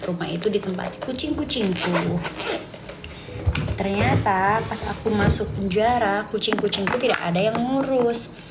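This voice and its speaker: A woman's voice: mid-range voice, moderate pace, heavy background noise. A woman chats casually on a late-night livestream, rambling in slang and answering comments as she goes. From Sidoarjo, East Java, Indonesia. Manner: chatty, slangy, rambling, reading and answering viewer comments aloud. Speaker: live streamer. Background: music.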